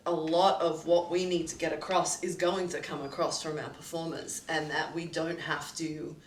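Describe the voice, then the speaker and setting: A woman's voice: low-pitched, little pitch movement, normal speed. Reflective woman, echoey distant mic. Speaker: co-producer. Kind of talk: casual roundtable chat. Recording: echoey room, distant mic, faint noise.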